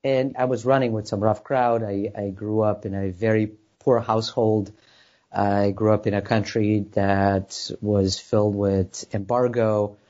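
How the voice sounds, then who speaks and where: Serbian-accented male guest with a lowish voice and an earnest, upbeat delivery, on muffled audio. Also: level tone, measured pace, dark tone. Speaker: podcast guest. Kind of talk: casual podcast interview. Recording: dull lo-fi podcast audio.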